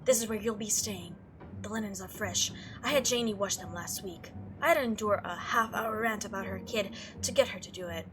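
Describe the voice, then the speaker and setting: A woman voicing a curt, dry and haughty cousin in a visual novel game, in a clipped, sarcastic deadpan. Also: medium pitch, a little fast, background noise, close to an everyday voice. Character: curt, dry, haughty cousin Tabitha showing her old house.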